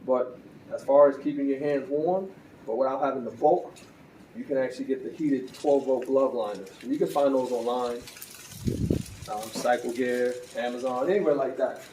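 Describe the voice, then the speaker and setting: A man's voice: mid-range voice, moderate pace, recorded from a distance, noisy background. A man giving a casual, conversational gear review as a motorcycle vlogger, with easy fillers and restarts. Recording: roomy, from a bit off the mic, faint ambience.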